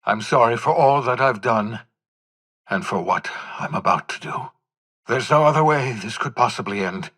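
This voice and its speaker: An embittered old scientist turned rebel for a video game. The voice is husky and bright with forceful edge, swinging widely in pitch. He speaks in wordy, scornful, melodramatic flourishes. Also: animated, normal speed. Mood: sorrowful, resigned. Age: older. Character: eccentric, embittered old scientist rebel leading crazed inmates. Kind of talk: theatrical video game character acting.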